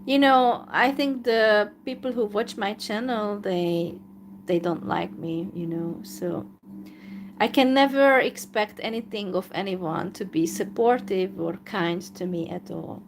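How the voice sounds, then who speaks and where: A woman from Hungary with a Hungarian accent, talking in a live video monologue in a downcast, resigned way, full of hesitant pauses. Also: mid-range voice, a little hiss or hum. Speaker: YouTuber.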